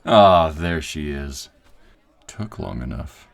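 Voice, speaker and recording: Cast a man as the director of a werewolf clan, with an extremely deep voice. He addresses his people formally and with measured authority. It is an audio drama ceremony. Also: animated, creaky, exaggerated voice. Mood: genial.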